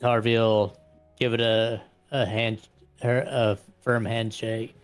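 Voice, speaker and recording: A man's voice: lowish voice, flat delivery, slow pace, clean tone, soft background music. A man playing a tabletop roleplaying game, describing his character in a casual, conversational way.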